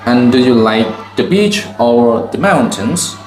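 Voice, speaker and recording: A man's voice: medium pitch, expressive, slow pace, darkish tone. A Cambodian man, a teacher asking a student simple questions in plain, broken English and answering each reply with "okay". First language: Khmer. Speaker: teacher and channel host. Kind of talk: classroom question-and-answer video. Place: school campus. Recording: echoey, with faint background music.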